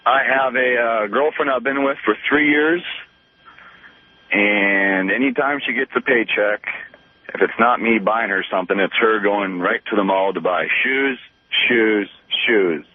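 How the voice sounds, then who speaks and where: A man's voice: lowish voice, measured pace, no echo. A man in his twenties calling a radio show, chatting casually in an easygoing, self-deprecating way over the phone. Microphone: telephone. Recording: narrow phone line.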